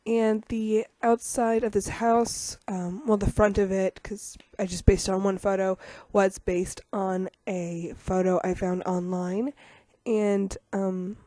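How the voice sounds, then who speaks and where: Young woman, rough post-cold voice, mellow dark tone, chatty and self-deprecating. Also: mid-range voice, little pitch movement, takes it slowly, no echo. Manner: chatty, with many ums and self-deprecating asides. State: rough voice from getting over a cold. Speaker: YouTuber narrating a game speed build. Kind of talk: casual voice-over commentary.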